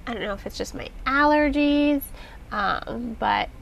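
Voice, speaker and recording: A woman's voice: fairly high pitch, a little slow, creaky, poor audio. A congested woman with a nasal voice, hosting a podcast and talking through a book review in a chatty, rambling way with lots of fillers. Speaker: podcast host reviewing books. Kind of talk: casual spoken book review.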